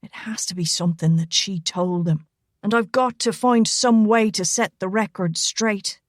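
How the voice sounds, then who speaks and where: A woman's voice: a little high, steady tone, measured pace, no echo, studio quality, lightly acted. A woman voicing a big, charming Irish man who teases in a thick Irish brogue. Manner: playful thick brogue, lilting.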